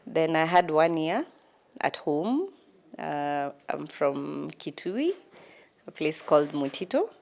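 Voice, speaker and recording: Kenyan woman, 30s, interview guest, low and unhurried, pausing with ums. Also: sing-song, gravelly, darkish tone. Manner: hesitant, many ums, laughs at herself. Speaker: guest, lawyer. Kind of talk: casual interview answers.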